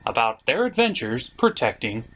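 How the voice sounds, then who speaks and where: A man's voice: medium pitch, big pitch swings, moderate pace, fairly bright tone. A man giving a scripted comic episode recap in a theatrical manner, as a reviewer and puppeteer. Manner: theatrical, slipping into character voices.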